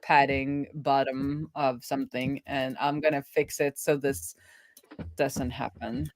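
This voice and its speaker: A woman's voice: very deep voice, flat delivery, slow. A woman thinking aloud in a chatty, casual way as she live-streams a coding session. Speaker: streamer and web developer.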